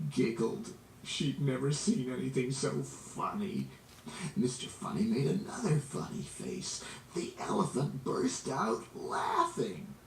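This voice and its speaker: A man reading a children's story aloud in an expressive, dramatic way, drawing out words for emphasis. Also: mid-range voice, creaky. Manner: animated, dramatic, drawn-out emphasis. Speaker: storybook reader. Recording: roomy, distant, noisy amateur recording.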